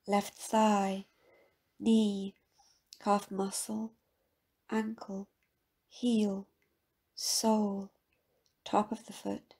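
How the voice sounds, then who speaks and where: A woman guiding a scripted meditation in a calm, measured, soft-spoken manner with a husky, breathy voice. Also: mid-range voice. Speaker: meditation guide. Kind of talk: guided meditation, scripted soothing narration.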